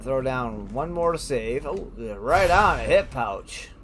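A man's voice: mid-range voice, lively intonation, slow, a bit bright, slight background noise. A man giving casual let's play commentary, thinking aloud in short remarks. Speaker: let's player. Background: music and game sounds.

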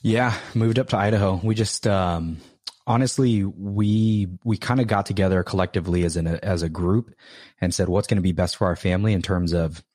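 A man in his thirties talks as a podcast guest with a very deep voice. He is easygoing and laughs often, circling back as he talks, and the recording is dry and close. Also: fairly even intonation, moderate pace, a little mellow, dry recording. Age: 30s. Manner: laughing, easygoing, rambling with restarts. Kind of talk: casual interview chat.

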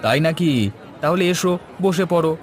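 A man's voice: on the high side, moderate pace, a faint crowd, close to an everyday voice. A man playing an easygoing, teasing village biryani seller in a cartoon. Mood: friendly, teasing. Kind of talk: Bengali cartoon dialogue.

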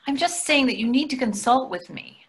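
A woman's voice: high-pitched, animated, normal speed, creaky. An older woman playing a hungry, nagging wife who questions everything in a staged comedy reading over a video call. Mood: irritated. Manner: querulous, questioning. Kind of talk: readers theatre comedy acting. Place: indoor room on a video call. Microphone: video call microphone.

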